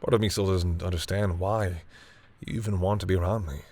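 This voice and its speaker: A man playing a flustered, tender and grudgingly fond vampire boyfriend in an intimate roleplay audio, hesitant and teasing, with a husky voice. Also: sits low, steady tone, brisk, darkish tone. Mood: vulnerable, tender. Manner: hesitant, easily embarrassed, teasing.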